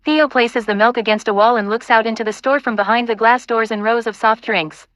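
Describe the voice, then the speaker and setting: A woman's voice: a little high, steady tone, fairly quick, a bit bright, no room sound. A woman reading scene directions as the scripted narrator of an audio play.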